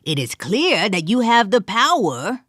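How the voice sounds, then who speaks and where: A woman's voice: mid-range voice, big pitch swings, measured pace, fairly bright tone, dry recording, clean studio sound. A woman voicing an earnest adventurer who gives a grand, formal plea for peace.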